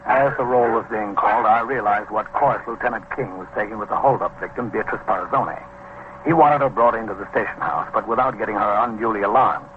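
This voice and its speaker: An actor playing a terse, matter-of-fact American police captain, giving precise procedural narration in an old-time radio drama. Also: male voice, on the low side, fairly quick, lightly acted. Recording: muffled, slightly noisy vintage radio recording.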